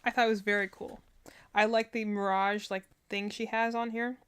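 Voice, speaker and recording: A woman's voice: mid-range voice, little pitch movement, moderate pace, fairly bright tone, clean tone. A woman giving casual reaction commentary as a streamer, rambling and often searching for her words. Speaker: streamer reacting to game character trailers.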